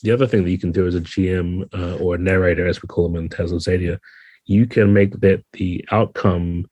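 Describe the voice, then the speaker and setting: A man's voice: low-pitched, fairly even intonation, fairly quick, dark tone, no room sound. A man speaking as an interview guest, thoughtfully explaining game design in a conversational way.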